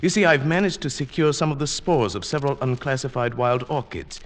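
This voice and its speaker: An actor playing a meek, henpecked older botany professor in an old-time radio drama, speaking mildly and hesitantly. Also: male voice, medium pitch, brisk, lightly acted. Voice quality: mild, hesitant.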